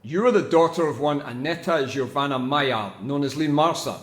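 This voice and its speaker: An actor playing a stern, accusing police interrogator in an audio drama, formal and pressing. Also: male voice, fairly high pitch, moderate pace, roomy sound, background noise, natural voice.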